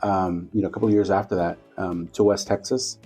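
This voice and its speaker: Low mellow-voiced man, easygoing storyteller. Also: a little fast, husky, soft background music. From Guatemala. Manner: relaxed, storytelling, many fillers. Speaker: radio station owner. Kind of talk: casual interview talk.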